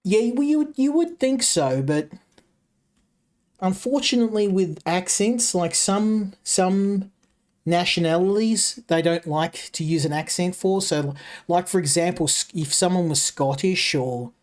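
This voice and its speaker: A man with a broad Australian accent narrates his game as he plays. His voice sits a little high for a man, and he rambles and circles back over words while thinking aloud. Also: a little slow. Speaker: game streamer. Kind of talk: casual gameplay commentary.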